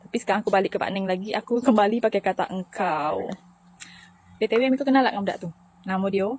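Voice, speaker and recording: A woman's voice: medium pitch, fast, darkish tone, breathy edge. A woman with a Riau Malay accent telling a chatty personal story to camera. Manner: chatty, anecdotal.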